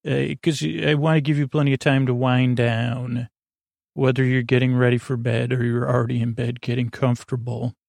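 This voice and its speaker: A man hosting a sleep podcast with a meandering, halting monologue, often stammering and drifting off on tangents. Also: mid-range voice, completely flat delivery, creaky, darkish tone, no echo, studio quality. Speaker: podcast host.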